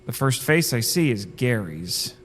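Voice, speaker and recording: A man playing a sardonic crash survivor in an audio drama, narrating his own story with wry, theatrical, deliberate delivery. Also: mid-range voice, big pitch swings, long reverb tail, a little hiss or hum. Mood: earnest, bewildered. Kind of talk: first-person audio drama narration and dialogue.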